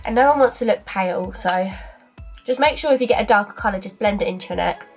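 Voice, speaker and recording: A woman's voice: medium pitch, fast, faint music behind. Chatty young woman with a cold. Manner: chatty, filler-heavy, talks while applying makeup. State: stuffy from a cold. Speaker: beauty vlogger. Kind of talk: casual makeup tutorial. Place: small bedroom dressing area indoors. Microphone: camera in front at arm's length.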